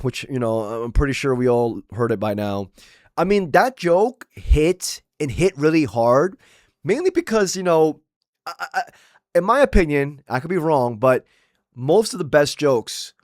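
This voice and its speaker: A man's voice: fairly high pitch, no room sound, clean studio sound. A young man from Maryland giving blunt, slangy political commentary while losing his voice. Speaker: commentary channel host.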